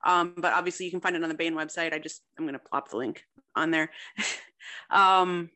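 A woman's voice: deep voice, moderate pace, sharp tone, slight background noise. A young woman chatting casually as a guest writer in an interview, with a self-deprecating, talkative manner. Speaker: guest, fiction writer and contest winner.

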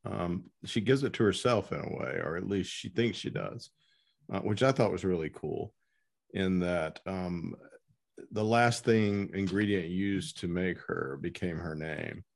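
A man hosting an unscripted literary interview as interviewer, speaking haltingly with pauses mid-sentence. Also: low-pitched, gravelly. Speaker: interviewer and host.

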